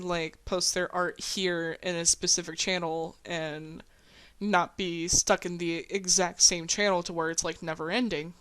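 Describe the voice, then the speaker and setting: A woman with a slightly low voice talks in a casual group chat. She speaks informally and lets mild swear words slip in as she goes. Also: clean tone.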